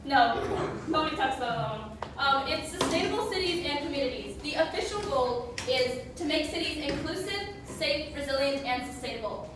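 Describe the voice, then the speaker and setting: A woman's voice: high voice, expressive, normal speed, few pauses. A teenage girl giving a school presentation as a student, reading prepared lines with informal asides. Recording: very echoey room, distant mic, clear noise.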